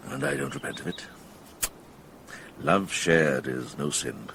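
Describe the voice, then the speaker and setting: A seasoned old monk in a radio drama, with a very deep male voice that moves expressively. He is wry and kindly yet decisive, measured in his authority, with clear noise behind him. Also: wildly swooping pitch, a bit bright, full character voice. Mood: wry, unrepentant. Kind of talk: British radio drama acting.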